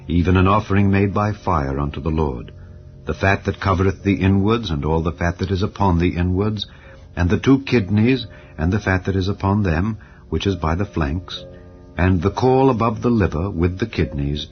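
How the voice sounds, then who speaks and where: A man reading scripture aloud in a slow, measured, solemn way, as narrator of a dramatized recording. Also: deep voice, highs cut off. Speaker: Bible narrator. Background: soft ambient music.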